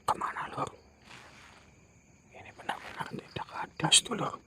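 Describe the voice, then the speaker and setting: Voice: moderate pace, noisy background. A companion on a night-time ghost-hunting vlog outdoors, whispering fearfully and murmuring prayers.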